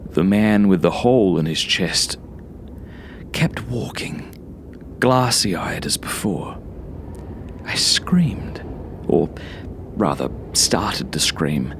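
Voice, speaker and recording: Guy reading a horror audiobook, lowish voice, dramatic n suspenseful, some bg noise. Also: sing-song, slow pace, long pauses. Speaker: story narrator. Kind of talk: first-person horror audiobook narration.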